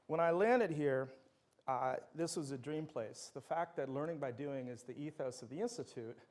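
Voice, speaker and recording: A man's voice: on the high side, normal speed, a little mellow. A middle-aged man, a native Dutch speaker, giving an enthusiastic university lecture full of anecdotes. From Netherlands.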